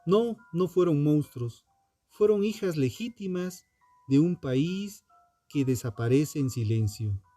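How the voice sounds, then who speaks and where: Slow, animated high-pitched male narrator. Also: dry recording. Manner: dramatic, deliberate phrasing with pointed pauses. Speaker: true crime narrator. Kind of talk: scripted true crime narration. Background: music under the voice.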